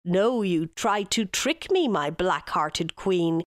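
A woman's voice: fairly low pitch, expressive, slow pace, no echo, studio quality. A woman voicing an impassioned king, a devoted father full of grief, in a rhyming storybook tale. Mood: angry. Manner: impassioned, accusing. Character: grieving, angry old-world king and devoted father.

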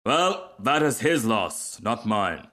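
A man's voice: fairly low pitch, very animated, slow, bright, forceful tone, exaggerated voice. A man voicing a vain, easily offended firework rocket in a storybook, speaking in a haughty, formal, self-important manner. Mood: smug, lecturing. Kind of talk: storybook character reading.

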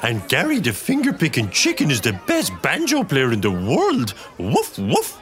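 A woman's voice: sits low, wildly swooping pitch, normal speed, faint music behind. A woman voicing a friendly, knowing talking pet dog in a cartoon. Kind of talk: cartoon voice acting.

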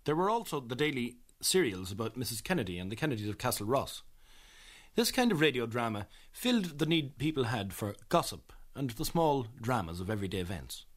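A man with an Irish accent presenting scripted documentary narration in a measured, earnest manner. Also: medium pitch, sing-song.

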